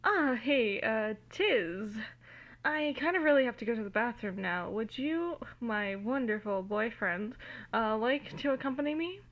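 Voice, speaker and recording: A woman's voice: fairly high pitch, animated, a little slow, muffled recording. A woman voicing a panicked young man talking urgently and shakily to his boyfriend in a fan-fiction reading, recorded on a phone. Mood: nervous, polite. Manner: shaky, urgent, sputtering.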